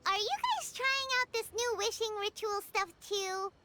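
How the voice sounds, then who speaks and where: A woman voicing a tiny, excitable, greedy childlike fairy companion in an animated video game, squeaky and exclamatory. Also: normal speed, very noisy. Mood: curious. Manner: exclamatory, refers to self in third person. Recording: music behind the voice.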